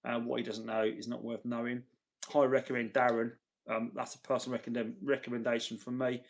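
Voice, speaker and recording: A man hosting a casual livestream, chatting chummily with viewers, his voice tired and getting gravelly. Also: mid-range voice, flat delivery, fairly quick. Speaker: livestream host. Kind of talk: casual livestream chat, reading and answering viewer messages.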